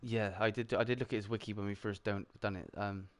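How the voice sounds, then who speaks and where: A man's voice: on the low side, fairly even intonation, fairly quick. A British man hosting a casual gaming livestream chat, reading out donations from the chat. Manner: reads out chat donations, chatty and upbeat. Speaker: livestream host.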